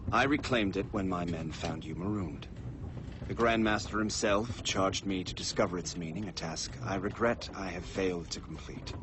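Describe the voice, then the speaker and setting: An actor playing a grave, formal officer in a video game cutscene, speaking with a formal delivery as if reading a letter. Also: male voice, low-pitched, background noise.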